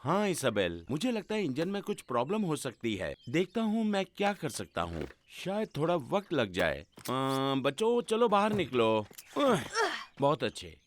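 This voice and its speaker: A man's voice: a little high, wildly swooping pitch, normal speed. A man voicing a good-natured grandfather rabbit in a Hindi-dubbed preschool cartoon, muttering small interjections as he talks. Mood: puzzled. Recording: faint noise and clicks.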